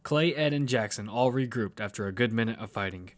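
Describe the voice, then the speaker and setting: A man's voice: medium pitch, brisk, natural voice. A man narrating an audio fiction survival story in character, as one who tells of a battle. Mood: storytelling.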